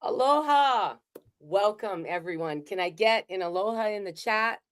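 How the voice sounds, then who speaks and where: A woman's voice: mid-range voice, lively intonation, moderate pace, smooth. A middle-aged woman from Hawaii giving a candid, conversational keynote talk over a video call from her home studio. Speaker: keynote speaker. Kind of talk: raw personal keynote talk.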